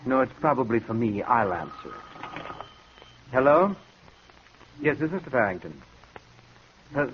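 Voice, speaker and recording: A brooding, secretly sinister gentleman in a vintage radio thriller. His delivery is soft-spoken, theatrical and measured, with widely swinging intonation. The old recording is dull, with some background noise. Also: mid-range voice, big pitch swings. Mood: anxious. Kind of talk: 1950s radio drama acting.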